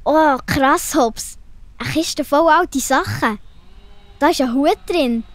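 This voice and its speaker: A woman's voice: sits high, slow pace, no echo. A woman playing a curious, playful young girl in a children's audio play, inquisitive and exclamatory, with a Swiss German accent. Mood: amazed, curious.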